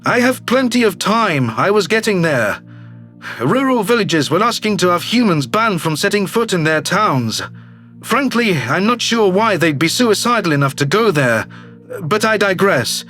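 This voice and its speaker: A man's voice: high-pitched, sing-song, normal speed, sharp tone, soft background music, pitched below the performer's own voice, heavily acted. A man voicing a brash, slick alien governor who talks with glib self-assurance, in a sci-fi audio drama. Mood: matter-of-fact. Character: brash, slick alien politician, newly elected male governor. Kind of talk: audio drama character reading.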